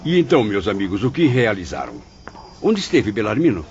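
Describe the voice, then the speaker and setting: A man with a Brazilian accent playing an earnest, remorseful spirit in a dramatized audiobook, speaking in solemn, formal, measured diction. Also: lowish voice, big pitch swings. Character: earnest, remorseful male spirit recounting his mission of consolation. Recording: dull muffled audio under loud music.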